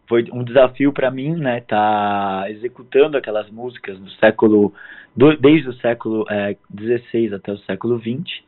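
A hoarse man in his thirties from southern Brazil, a guest musician speaking politely and hesitantly in a phone interview. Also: medium pitch. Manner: hesitant, filler-heavy, polite. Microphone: phone line. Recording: tinny phone line.

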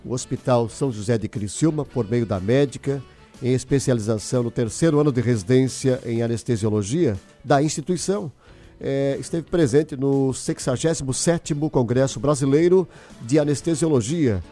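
A male radio host leads a live news interview. His voice is mellow and mid-pitched, with faint music playing underneath. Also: normal speed.

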